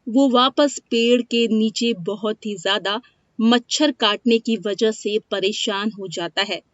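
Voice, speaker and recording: Woman narrator for moral tales, unhurried delivery, dry and slightly dull recording. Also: mid-range voice, dry recording. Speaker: storyteller. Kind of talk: scripted moral-story narration. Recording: dry, dull lo-fi studio sound.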